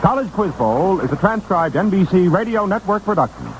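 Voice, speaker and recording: A man's voice: sits high, lively intonation, fairly quick. An American man making formal, polished scripted announcements in the style of 1950s radio. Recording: hissy 1950s radio recording.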